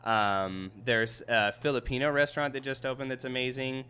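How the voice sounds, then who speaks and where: Easygoing chef with a bright, smooth voice. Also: male voice, medium pitch, steady tone, moderate pace. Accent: American Midwest. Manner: easygoing, laughs often, hesitant fillers. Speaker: chef and restaurant owner. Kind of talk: casual interview answers.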